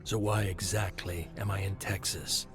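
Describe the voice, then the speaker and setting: A man's voice: low voice, fairly even intonation, moderate pace, over music, lightly acted. A man playing a sceptical male field agent in a story, with a dry, questioning manner.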